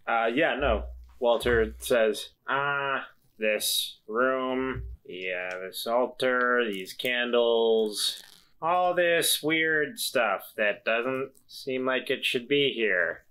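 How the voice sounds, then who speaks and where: Slow-talking man with a bright voice. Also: medium pitch, expressive. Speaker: player in a tabletop game. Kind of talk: casual game chat.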